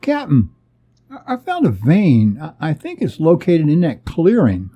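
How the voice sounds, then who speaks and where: A man's voice: a little high, wildly swooping pitch, unhurried, dark tone, husky, full character voice. A man voicing a panicked young-spirited spaceship crewman who stammers and strains as he cries for help in an adventure story. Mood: nervous excitement.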